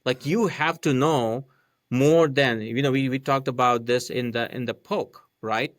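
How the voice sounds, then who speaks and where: A man's voice: mid-range voice, steady tone, unhurried, no echo, clean studio sound. A man whose first language is not English, a podcast guest explaining a marketing method step by step and often checking in with "right?". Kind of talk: casual explanatory chat.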